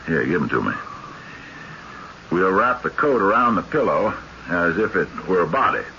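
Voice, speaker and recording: An actor playing a solemn, commanding sleuth in a radio drama, speaking with deliberate, preacher-like certainty. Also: male voice, lowish voice, gravelly, bright, forceful tone, full character voice. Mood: brisk, commanding. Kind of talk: old-time radio drama acting. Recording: muffled radio recording with faint hiss.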